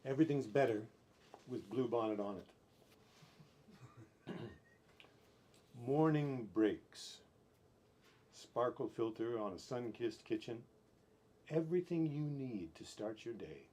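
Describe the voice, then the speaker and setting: A man performing spoken-word poetry as a poet, with deliberate, theatrical delivery in short phrases broken by pauses, on a live-stream recording. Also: mid-range voice, expressive, mellow. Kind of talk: dramatic spoken-word poetry reading, with brief chatty asides.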